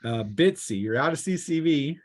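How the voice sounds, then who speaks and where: A man working as game master, narrating and refereeing a tabletop role-playing game in a halting way full of fillers. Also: fairly high pitch, lively intonation.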